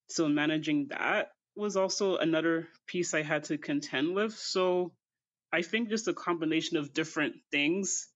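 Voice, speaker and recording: A woman from Canada with a deep voice and a stutter, answering interview questions thoughtfully. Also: lo-fi sound. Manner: thoughtful, measured. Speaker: podcast guest.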